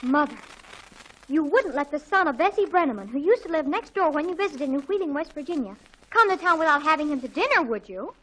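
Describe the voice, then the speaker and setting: A woman acting an excitable teenage daughter with an American accent, gushing and melodramatic, in a 1940s radio sitcom. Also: sits high, a little fast, poor audio. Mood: pleading, frantic. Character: excitable, dramatic teenage daughter in a family comedy.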